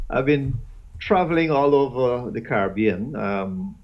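Man in his fifties, gravelly voice, slow, halting and full of fillers, dull lo-fi audio. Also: medium pitch, expressive. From Guyana. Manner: halting, with many fillers and repeated words. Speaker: guest. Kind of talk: casual interview chat.

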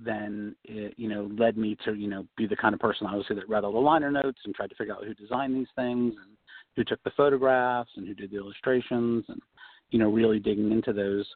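A man being interviewed as a guest designer, relaxed, talking with many you-knows and ums. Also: fairly low pitch, brisk, no echo. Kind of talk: casual interview answers. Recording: tinny phone-line sound.

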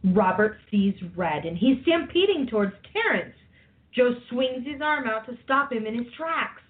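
A woman's voice: a little high, animated, measured pace. A woman reading scripted narration for a radio play. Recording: thin phone-like sound.

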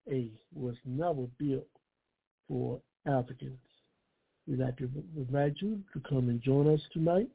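A male radio host opens a political talk show in a fairly high, husky, slightly hoarse voice. He goes phrase by phrase with deliberate emphasis, over a narrow phone-like line.

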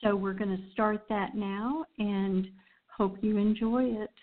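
A woman hosts in a casual, chatty style. She talks slowly at a medium pitch, and the sound is narrow and phone-like. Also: fairly even intonation. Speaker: host. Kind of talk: casual chat introducing shows.

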